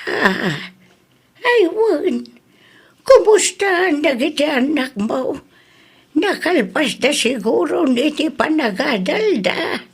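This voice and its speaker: An Ilocano-accented woman playing a gentle, pious old village grandmother in a radio drama. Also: high-pitched, big pitch swings, slow, exaggerated voice.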